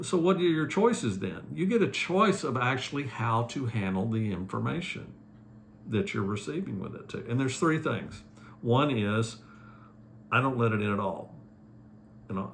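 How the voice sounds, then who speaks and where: A man's voice: mid-range voice, fairly bright tone, a little hiss or hum. A man coaching couples in easygoing, folksy conversation about relationships.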